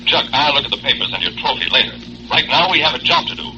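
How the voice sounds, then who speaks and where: An actor playing a brisk, authoritative aviator captain in a 1940s radio adventure drama. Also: male voice, on the high side, sing-song. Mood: serious, brisk. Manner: authoritative, businesslike. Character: brisk, commanding male aviator hero, squadron captain. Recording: muffled noisy old radio recording.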